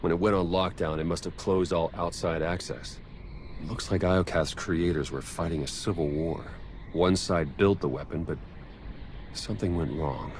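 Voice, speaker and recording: Deep-voiced wry secret agent. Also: male voice, fairly even intonation, moderate pace, a little mellow, a little hiss or hum. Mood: concerned. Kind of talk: video game cutscene acting.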